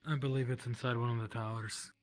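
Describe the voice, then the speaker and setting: A man's voice: mid-range voice, steady tone, fast. A man talking casually while roleplaying in an online game. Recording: game voice chat with music behind.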